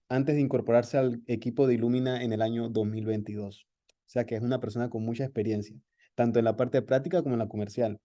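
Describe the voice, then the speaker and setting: A man's voice: medium pitch, warm tone. A man hosting a webinar, reading a formal introduction of the guest speaker over a video call. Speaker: webinar host.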